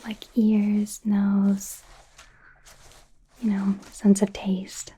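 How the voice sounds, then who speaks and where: A woman playing an eager, friendly, slightly awkward female werewolf doctor in an ASMR medical exam roleplay, speaking in a soft breathy whisper with a hesitant, rambling manner. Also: medium pitch, completely flat delivery, very slow, exaggerated voice. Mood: clinical, gentle.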